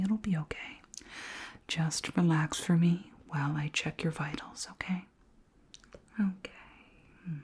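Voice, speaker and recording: A woman's voice: sits low, breathy edge, heavily acted. Woman as a whispering, curious alien queen. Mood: soothing, commanding, then puzzled, musing. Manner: soft, soothing, formal, measured. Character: curious alien queen, composed, gently commanding female scientist. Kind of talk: whispered ASMR roleplay.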